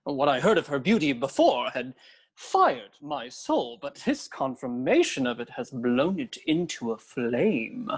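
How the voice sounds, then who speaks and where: Woman playing a husky, swooping, lovestruck young nobleman. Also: rumbling low, very animated, a little slow, full character voice. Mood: smitten. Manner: grand, declamatory, archaic speech. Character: ardent, hot-tempered young Spanish nobleman in love. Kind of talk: period stage comedy audio drama.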